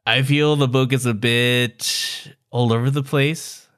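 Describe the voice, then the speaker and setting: A man's voice: mid-range voice, steady tone, slow pace, a bit bright, clean tone. Casual, joking man with a mid-range voice. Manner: informal, joking. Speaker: podcast co-host. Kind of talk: casual chat about books and films.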